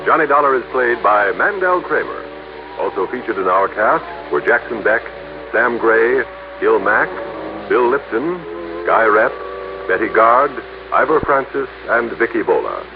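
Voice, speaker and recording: A male American announcer reads scripted copy in 1960s radio style. His pitch moves freely with a creaky edge, and the old recording sounds thin. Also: animated, measured pace, narrow, tinny audio, over music. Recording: old, band-limited, hissy radio recording.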